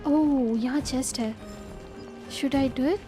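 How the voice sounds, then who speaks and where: High-voiced Indian woman livestreaming games. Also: normal speed. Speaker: game livestreamer. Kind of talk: casual live gameplay chat. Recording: game music clearly behind voice.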